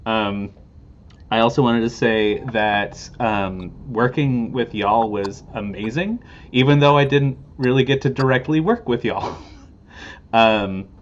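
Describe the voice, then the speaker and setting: A man's voice: medium pitch, slow pace. A man working as a theater audio producer, speaking casually and appreciatively without a script. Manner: appreciative, informal. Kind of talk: casual unscripted thanks. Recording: dull lo-fi stream, faint noise.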